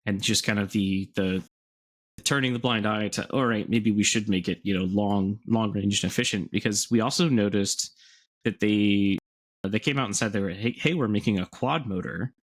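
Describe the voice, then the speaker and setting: A man with a fairly low voice co-hosts a podcast. His delivery is conversational and explanatory, like informal chat among peers. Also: moderate pace. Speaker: podcast co-host.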